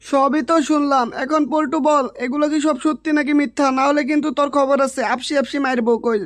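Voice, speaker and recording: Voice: high-pitched, fairly even intonation, fast talker, no room sound, lightly acted. A performer voicing a stern, bossy male village headman, authoritative and threatening, in a comic Bengali drama style.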